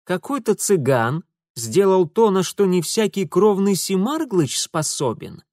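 A man voicing a proud, quick-tempered young nobleman who speaks imperiously and indignantly. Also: high voice, sing-song, a little mellow, dry recording, clean studio sound, pitched above the performer's own voice. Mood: haughty.

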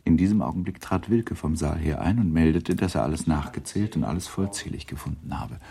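A man narrating a radio play in an even, storytelling manner. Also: very deep voice, a little fast, vocal fry, slightly rough. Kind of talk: scripted literary narration.

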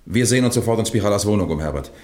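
Guy playing a police captain in a crime radio drama, deep voice, clipped, businesslike, gives orders. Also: expressive, very fast, darkish tone, close to an everyday voice. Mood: commanding. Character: male police captain, dry, commanding lead investigator. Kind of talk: German crime radio play acting.

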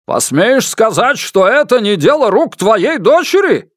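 A narrator, a man, puts on a desperate, enraged father. The voice climbs high and loud, swinging widely as he hurls accusations in hysterical shouts. It is an audiobook character in a dry recording. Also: lively intonation, takes it slowly, no echo. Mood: threatening. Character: enraged, desperate father hunting his missing daughter.

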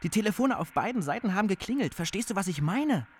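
Excitable, stammering, high-voiced tinkerer guy. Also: fast talker, breathy edge, exaggerated voice. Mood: amazed, excited. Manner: stammering, breaking off and repeating words. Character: excitable young male telephone tinkerer, easily amazed and flustered. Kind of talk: naturalistic German radio drama dialogue.

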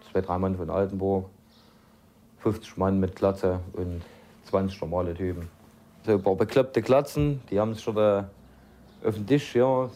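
A man's voice: lowish voice, mellow. A man giving a blunt, colloquial, matter-of-fact personal account. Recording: muffled documentary tape with background noise.

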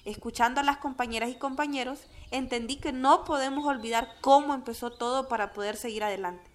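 An actress playing a friendly, expressive woman activist in a radio drama, talking in a reassuring, conversational way. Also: high-pitched, brisk, natural voice. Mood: friendly, earnest. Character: Mercedes, friendly woman activist patiently explaining to comrades. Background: music.

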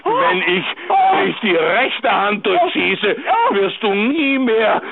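A man acting in a German radio drama as an armed, jealous, grieving husband, theatrical, moving between soft mockery and threats. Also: high-pitched, sing-song, slow pace, vocal fry, phone-quality sound, very noisy, heavily acted. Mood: furious accusation.

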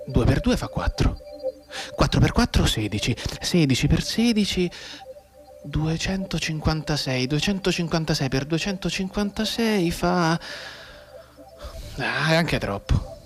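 An actor playing a maimed, despairing young soldier in a radio drama monologue, brooding and halting, moving between hushed and agitated delivery. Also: male voice, fairly high pitch, expressive. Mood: straining to remember.

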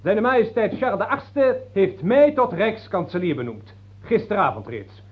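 A man's voice: high voice, sing-song, normal speed. An actor playing a scheming, imperious nobleman in a historical radio drama, with a commanding, declamatory, sardonic delivery. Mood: triumphant, commanding. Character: scheming, imperious male nobleman seizing power at court. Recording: dull old radio play recording.

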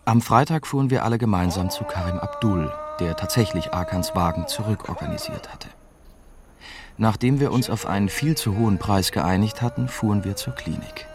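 A man's voice: lowish voice, wildly swooping pitch, moderate pace. An actor playing a young German reporter who narrates his story in the first person, as scripted radio drama. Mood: reflective. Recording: clear music bed behind narration.

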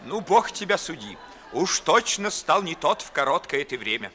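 A bright, ringing, mocking young gentleman. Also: a little high, very animated, moderate pace, slight background noise. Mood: wistful reproach. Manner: declamatory, ironic, clearly articulated. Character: spirited, mocking young gentleman back from travels. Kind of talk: 1950s Russian stage verse acting for radio.

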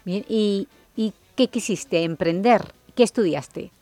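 A woman hosting a radio interview, asking brisk, inquisitive questions. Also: medium pitch, warm tone, no echo. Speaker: radio host.

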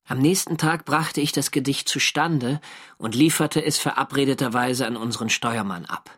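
An actor playing a wartime sailor narrating his diary in a measured, dryly wry way for a radio drama. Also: medium pitch, a bit bright, slightly rough. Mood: sober, reporting.

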